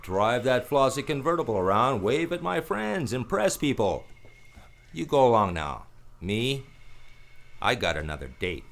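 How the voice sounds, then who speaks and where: A man's voice: medium pitch, very animated, a little slow, vocal fry. A man playing a cynical, wisecracking hard-boiled private detective in a noir radio play, wry and slangy. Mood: breezy.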